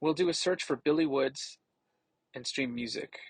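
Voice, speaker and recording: A man narrating a scripted product demo as a tech reviewer, casual and explanatory, with a gravelly, slightly husky voice. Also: on the high side, moderate pace, no room sound.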